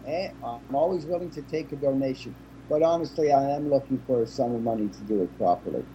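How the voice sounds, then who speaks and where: A man chats casually as an interview guest, jokey and animated. His voice is mellow and warm at an average male pitch. A faint hiss of background noise sits under the video call. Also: fast talker, lo-fi sound. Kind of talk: casual chat.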